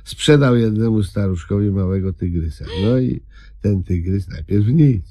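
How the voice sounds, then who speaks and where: An actor playing a gloomy, grumbling man in a comedy radio play, with a gravelly husky voice and a glum, terse, deadpan delivery. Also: fairly low pitch, moderate pace, dry recording, clean studio sound, natural voice. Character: gloomy, grumbling man taking stock of his modest life.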